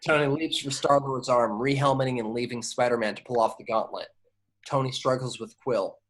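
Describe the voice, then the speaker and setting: A man's voice: medium pitch, steady tone, a little fast, fairly bright tone, low-quality recording. A man reading scripted action descriptions at an amateur table read, now and then stumbling and repeating words. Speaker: stage directions reader.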